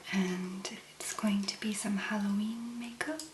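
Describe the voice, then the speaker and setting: Slow, whispering woman, ASMR. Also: medium pitch, steady tone. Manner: hushed, gentle, unhurried, with long pauses. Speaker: ASMR host. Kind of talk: soft-spoken whispered ASMR. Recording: roomy echo, clear background noise.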